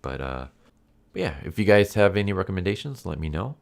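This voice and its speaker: A man's voice: low-pitched, big pitch swings, a little fast, vocal fry. A man with a stuffed-up, nasal voice from a head cold, giving a casual, rambling, unscripted review to his viewers. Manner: rambling, repeats and rephrases himself. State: sick with a stuffed nose and cough. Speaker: YouTube anime movie reviewer and channel host.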